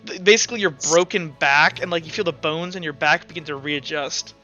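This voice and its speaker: A man's voice: fairly high pitch, fairly quick, pushed and bright, muffled recording, faint music behind. A man talking casually as game master, running a tabletop roleplaying game.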